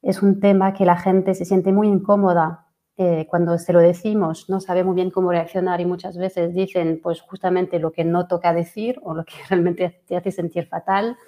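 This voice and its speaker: French-accented woman in her 40s, mellow low voice, hesitant webinar coach. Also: little pitch movement, moderate pace. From France. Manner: hesitant, many fillers and restarts. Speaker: fertility coach. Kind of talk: webinar presentation.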